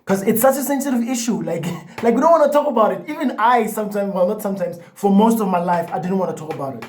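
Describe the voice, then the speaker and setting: Mellow young man who stutters. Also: high voice, animated, fast. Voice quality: stutters, with repeated words and restarts. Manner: loose and chatty, full of "like" and "you know". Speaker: vlogger. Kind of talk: casual talk to camera.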